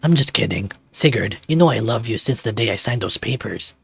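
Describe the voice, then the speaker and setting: A man's voice: lowish voice, expressive, a little fast, gravelly, breathy edge, no room sound, faint music behind, exaggerated voice. Visual novel dad, male performer: middle-aged, competitive, stubborn, teasing banter, dry close recording. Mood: teasing, fatherly.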